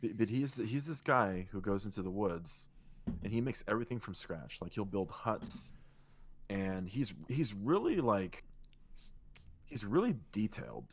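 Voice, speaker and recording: A man co-hosting a casual podcast, talking in a relaxed, rambling way with a soothing voice and casual swearing. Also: lowish voice, a little slow, a little hiss or hum. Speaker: podcast co-host and streamer. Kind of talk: casual chat.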